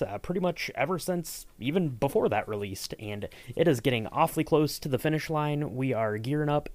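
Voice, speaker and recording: A man's voice: mid-range voice, dry recording. A man hosting a podcast in a casual, enthusiastic interview chat. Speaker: podcast host.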